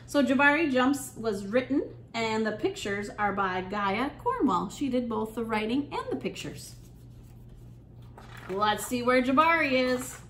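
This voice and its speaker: A woman leading a storytime for young children, reading aloud in an animated, encouraging way and putting questions to her listeners. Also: on the high side, a little slow. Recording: echoey room, clear background noise.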